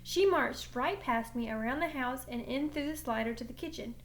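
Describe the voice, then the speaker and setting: A woman reading a children's novel aloud in an animated, dramatic storytelling manner. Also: high voice, moderate pace, a little hiss or hum. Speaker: teacher reading a book aloud.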